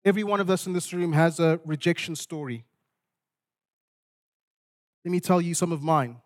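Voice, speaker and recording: High-voiced man preaching. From Zimbabwe. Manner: dramatic, deliberate phrasing with repetition for emphasis. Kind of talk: expository sermon with storytelling.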